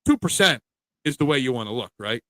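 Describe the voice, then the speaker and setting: A man's voice: mid-range voice, animated, brisk, a bit bright, no room sound. A man hosting a casual sports betting preview show, chatting with his co-hosts.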